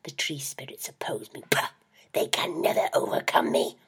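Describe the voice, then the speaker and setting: A woman voicing an ancient evil forest spirit disguised as an old male clergyman, booming and hissing in a husky, breathy voice. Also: big pitch swings, normal speed, full character voice. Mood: menacing hiss. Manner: booming, roaring, hissing whispers.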